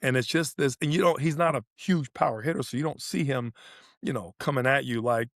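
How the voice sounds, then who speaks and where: A man's voice: medium pitch, brisk, dry recording, clean studio sound. A man co-hosting a sports podcast, breaking down play in casual talk and repeating phrases for emphasis. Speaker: podcast co-host analysing tennis.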